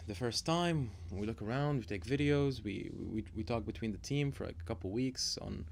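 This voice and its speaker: A man's voice: medium pitch, moderate pace, creaky, a little hiss or hum. A young man from Lebanon, a nightlife organizer talking casually on radio, hesitant with many ums and repeated words.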